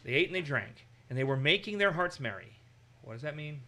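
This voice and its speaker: Man, Bible teacher, bright tone, a bit high, animated, acts out quotes. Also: brisk. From Massachusetts. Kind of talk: conversational Bible study.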